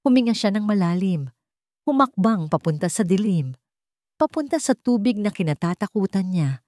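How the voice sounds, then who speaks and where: A woman's voice: mid-range voice, big pitch swings, normal speed, darkish tone, dry recording, studio quality. A woman storyteller giving a scripted, dramatic narration of an emotional tale.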